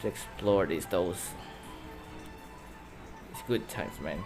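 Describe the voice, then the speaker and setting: A man giving casual gameplay commentary in loose, halting, broken phrases. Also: low-pitched. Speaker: gameplay video host. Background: loud game music.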